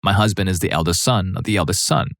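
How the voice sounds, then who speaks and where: Guy with a low voice reading reddit-style stories, steady, super dry mic. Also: quick pace, gravelly, no echo. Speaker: narrator reading forum stories. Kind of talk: scripted narration.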